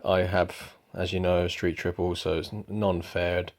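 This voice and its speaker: A young man giving a relaxed, rambling product review at home, with lots of ums. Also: deep voice, normal speed. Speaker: motorcycle vlogger. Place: indoors at home.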